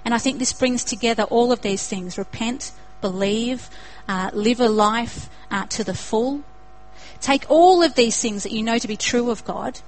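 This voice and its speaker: A woman's voice: on the high side, normal speed, poor audio. Earnest Australian woman preaching. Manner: earnest, builds to emphatic repetition. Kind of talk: sermon.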